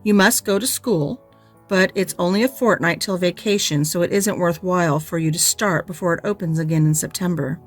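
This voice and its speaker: A woman's voice: lowish voice, moderate pace, soft background music, natural voice. Woman reading as a curt, stern spinster. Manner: curt, disapproving and matter-of-fact. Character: stern, dry, unbending middle-aged spinster. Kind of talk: audiobook character reading.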